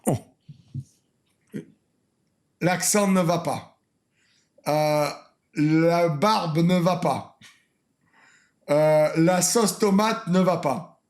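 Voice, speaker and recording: A man's voice: fairly high pitch, extremely slow, long pauses. A French man streaming a reaction video in a casual, mocking way, laughing often and repeating lines.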